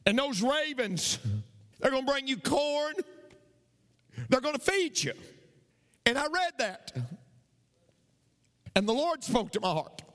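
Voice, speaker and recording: A man preaching a sermon, telling a story and building to shouts. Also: very high voice. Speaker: pastor. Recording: long reverb of a hall.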